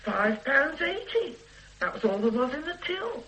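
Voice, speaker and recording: A woman's voice: high-pitched, big pitch swings, unhurried, fairly bright tone, full character voice, rough recording. A woman playing a blunt, defiant middle-aged shopkeeper, in a radio drama, who stammers and restarts her sentences. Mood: stubborn. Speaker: witness.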